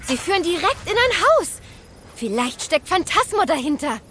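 A woman's voice: very high-pitched, very animated, normal speed, a bit bright, slight background noise, heavily acted. A woman playing a level-headed, alert and slightly bossy girl adventurer in a children's radio play. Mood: alert, deducing. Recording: music under the voice.

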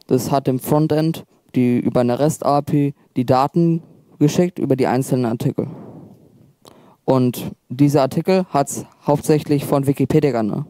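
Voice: medium pitch, warm tone, dry recording. A teenager explaining the backend at a youth event. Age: teens. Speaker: student presenter.

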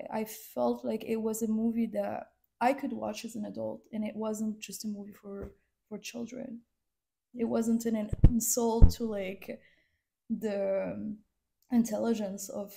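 A woman's voice: a little high, gravelly, dark tone, poor audio. A young woman who is sick, co-hosting a casual film review chat, speaking in a thoughtful, hesitant way. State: sick, voice affected by illness.

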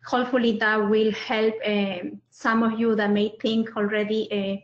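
Hesitant woman from Peru, flat voice. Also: mid-range voice, measured pace. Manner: hesitant, many fillers. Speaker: panelist. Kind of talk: self-introduction on a webinar. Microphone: video call. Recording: muffled audio in a roomy space.

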